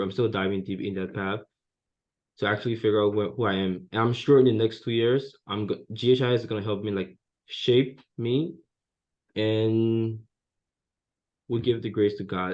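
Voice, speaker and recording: Earnest, low-voiced young man from Liberia, student panelist; dull lo-fi sound. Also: steady tone, normal speed. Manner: earnest, reflective. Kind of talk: personal story at a panel. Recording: dull lo-fi recording.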